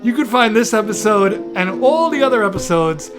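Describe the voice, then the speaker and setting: A man's voice: high voice, animated, fairly quick, music in the background. A man hosting a podcast, chatting casually with a guest in an interview style. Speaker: podcast host.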